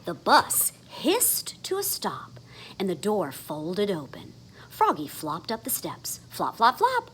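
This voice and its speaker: A woman's voice: medium pitch, huge pitch swings, slow, a bit bright, slight background noise, low-quality recording. A woman reading a children's story aloud expressively, with dramatic emphasis on the sound words. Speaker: storybook reader. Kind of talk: animated children's read-aloud.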